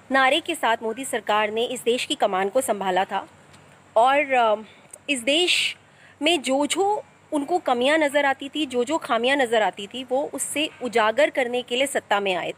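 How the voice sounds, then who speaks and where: A young woman with a high voice delivers a heated political commentary straight to a phone held at arm's length. She fires off rhetorical questions, outdoors among trees at night. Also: a bit bright, a little hiss or hum. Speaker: social activist.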